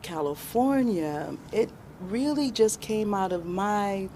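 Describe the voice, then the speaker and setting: An American woman in her sixties answering interview questions in an expressive, emphatic storytelling way. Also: medium pitch, takes it slowly, slight background noise. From born in Alabama, raised in Chicago, USA. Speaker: interview guest, filmmaker.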